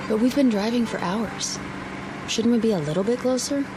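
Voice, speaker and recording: An uneasy wife played by an actress asks doubtful questions in a radio drama. Her voice is fairly high, set against clear road noise. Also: normal speed, natural voice.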